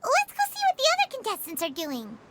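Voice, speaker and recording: An extremely high, squeaky little girl sprite, perky and childlike. Her pitch swoops wildly in true video game sidekick style, over loud background noise. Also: wildly swooping pitch, fast, full character voice. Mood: perky, curious. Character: tiny, perky, childlike female companion sprite.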